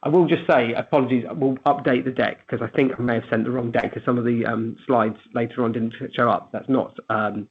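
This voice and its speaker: A man giving a webinar presentation in a brisk way, with some restarts. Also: medium pitch, fairly even intonation, a little hiss or hum. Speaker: webinar speaker. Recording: tinny phone-line audio.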